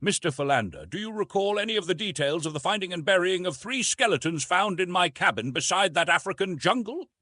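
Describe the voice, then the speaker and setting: A man voicing an earnest, intense young jungle-raised hero in an audiobook, speaking gravely and formally. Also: high-pitched, very animated, a little fast, hardly any pauses, bright, forceful tone, no room sound, voice pushed up, heavily acted. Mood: grave, probing. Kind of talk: audiobook character reading.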